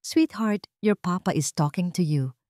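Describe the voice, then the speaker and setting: A woman's voice: fairly low pitch, expressive, unhurried, mellow, no room sound. A sweet-talking, possessive wealthy fiancée from an audio drama, as a woman narrator plays her. The recording is very dry. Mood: coaxing, irritated.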